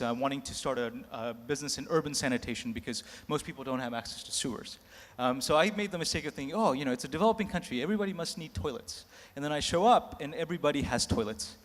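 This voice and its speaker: Casual guy, 30s, laughs at himself while telling stories, big echoey conference room. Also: mid-range voice. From United States. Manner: candid, self-mocking, laughs between lines. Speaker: panelist. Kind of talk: candid anecdotal storytelling. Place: large conference hall. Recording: echoey hall reverb.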